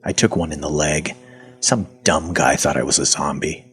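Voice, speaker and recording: A man playing a sardonic, suspicious captive in a zombie audio drama, talking in a colloquial, wry way with a husky voice. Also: very deep voice, normal speed, a bit bright, soft background music. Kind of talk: first-person audio fiction narration and acting.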